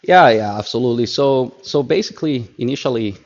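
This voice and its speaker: A man in his thirties from Colombia with a Colombian Spanish accent, a podcast guest telling stories in lively, repetitive phrasing. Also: medium pitch, a little fast, lo-fi sound. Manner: animated storyteller, repeats words while searching for phrasing. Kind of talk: casual interview talk.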